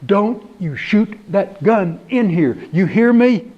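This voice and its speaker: A man voicing a calm, understated woman homeowner who turns firm when pushed. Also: on the high side, a little slow, muffled recording.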